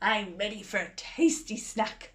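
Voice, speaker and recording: A woman's voice: mid-range voice, a little slow, fairly bright tone, slight background noise, natural voice. A woman voicing a snarling, greedy big bad wolf in a children's storybook reading, growling and gloating. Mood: sly growling.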